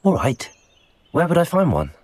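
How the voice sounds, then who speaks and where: A man's voice: on the high side, wildly swooping pitch, moderate pace, darkish tone. An actor playing a polite, composed young male student wizard in a video game. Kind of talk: scripted video game character acting.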